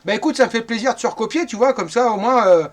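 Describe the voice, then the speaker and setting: A man's voice: very high-pitched, quick pace. A man in his fifties from northern France, chatting casually and at length as a radio operator, often repeating his words. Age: 50s. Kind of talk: casual radio contact chat.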